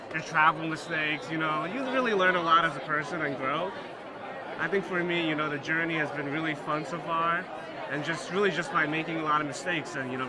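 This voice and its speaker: A young man in his twenties, a graduate student, answering interview questions earnestly with lots of "you know" fillers. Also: fairly high pitch. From Hong Kong, raised in New York. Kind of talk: casual interview. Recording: loud crowd behind. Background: crowd chatter.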